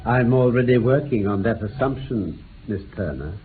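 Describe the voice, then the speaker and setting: An actor playing a self-assured gentleman detective, precise and dryly ironic, in a radio drama. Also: medium pitch, expressive, moderate pace, close to an everyday voice. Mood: assured, deductive. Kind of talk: 1960s British radio drama acting. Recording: tinny, band-limited old radio recording with hiss.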